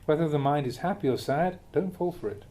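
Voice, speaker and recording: A man reading a spiritual teaching aloud in a measured, instructive way. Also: a little high, dark tone. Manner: measured, instructive, with rhetorical questions. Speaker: monk reading a Buddhist teaching.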